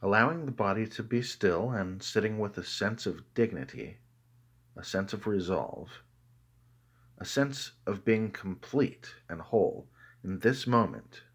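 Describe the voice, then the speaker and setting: A man narrating a guided meditation in a calm, measured way, with deliberate pauses between phrases. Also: lowish voice, breathy edge.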